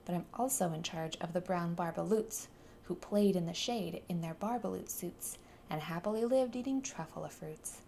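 A woman's voice: fairly low pitch, normal speed, creaky, dark tone, a little hiss or hum. A woman voicing a small, grumpy old male forest creature who scolds indignantly. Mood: scolding.

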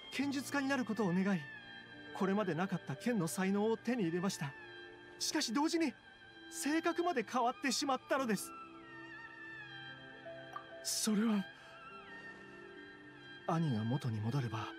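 A man voicing a timid, desperate young man in an anime-style game, speaking politely and earnestly. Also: high voice. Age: young adult. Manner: polite, earnest, anxious explaining.

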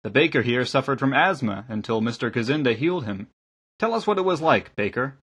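A man's voice: medium pitch, lively intonation, brisk, slightly rough. A man voicing a kindly, eager engineer and lay preacher in an audiobook, with a hearty, welcoming manner. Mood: earnest. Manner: hearty, welcoming, gently correcting. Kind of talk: audiobook character acting.

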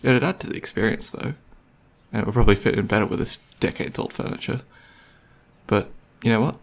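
Male actor for considerate, geeky young boyfriend, ASMR audio roleplay. Raspy, soft-spoken, conversational, slightly unsure of himself. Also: on the low side, brisk. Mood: casual, wry. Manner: hesitant, soft-spoken, conversational, with mumbled asides. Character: gentle, nerdy, considerate young boyfriend on movie date.

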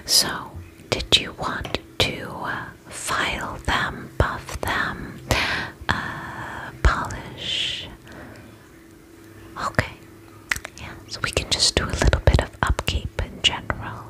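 A woman playing a soothing, attentive vampire spa beautician in an ASMR roleplay, whispering gently and reassuringly in a breathy voice. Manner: gentle, reassuring, slow and unhurried.

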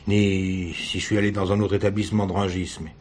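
An actor playing a wounded, weary husband suspected of murder, halting and defensive, in a French radio crime drama. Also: male voice, sits low, flat delivery, a little mellow, lightly acted. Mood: uneasy, defensive. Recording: noisy old radio drama recording.

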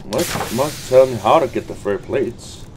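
Man, slow unhurried speech, unscripted gaming commentary, muttering to himself, medium pitch, faint noise. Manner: muttering to himself, reading item names aloud. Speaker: gamer recording a let's play.

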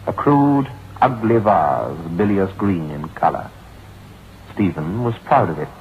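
A grave, formal lawyer recounts a grim tale with portentous solemnity. His voice is low. It is an old radio horror drama, slightly dull with faint hiss. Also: big pitch swings, unhurried. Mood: solemn, ominous. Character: grave male lawyer reading out a grim written account. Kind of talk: old-time radio acting, dramatic narration. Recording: dull, hissy vintage radio recording.

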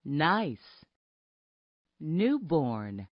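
Very slow, lively woman teaching the alphabet. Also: low voice, dry recording, muffled recording. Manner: deliberate, separated words and short phrases. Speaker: narrator of a children's alphabet app. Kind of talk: scripted phonics lesson narration for young children.

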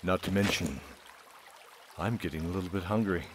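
A man's voice: low voice, normal speed, slight background noise, natural voice. A man playing a kindly, battle-worn warrior in a fantasy audio drama. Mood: warm, tender. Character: kindly battle-worn armored warrior, tender old friend.

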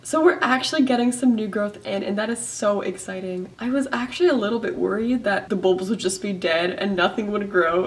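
A young woman talking casually as a vlogger, chatty, animated and jokey about herself. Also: on the high side, breathy edge. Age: young adult. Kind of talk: casual vlog narration, self-deprecating.

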